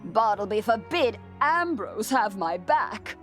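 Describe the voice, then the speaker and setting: An actress playing a brisk, gossipy, wry outcast alchemy professor in a video game. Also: female voice, mid-range voice, very animated, fairly bright tone, soft background music. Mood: wry, aggrieved. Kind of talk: video game character dialogue.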